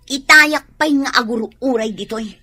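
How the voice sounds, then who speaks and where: An actress playing a sharp-tongued woman in a quarrel, scolding in a radio drama. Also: a little high, unhurried.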